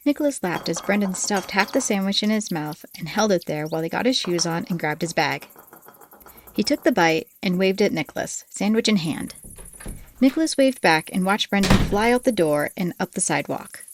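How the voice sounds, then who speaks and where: A woman narrates a scripted story in audiobook style. Her voice sits at an average pitch. The recording carries a faint layer of background noise. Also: expressive, normal speed.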